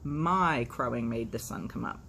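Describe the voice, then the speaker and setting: A woman's voice: very deep voice, unhurried, gravelly, fairly bright tone, a little hiss or hum, voice pushed down, exaggerated voice. A woman voicing a cocky, bossy young chick who argues proudly in a storybook reading. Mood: boastful, defiant.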